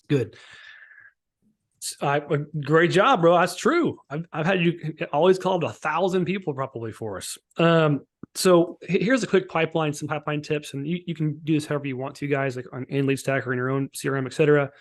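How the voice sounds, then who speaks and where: A man giving an informal, instructional software walkthrough as a sales trainer on a live call, often checking that listeners follow. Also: a little high, fairly quick. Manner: informal, instructional, checks for understanding with tags like "right?" and "okay?". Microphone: online group call.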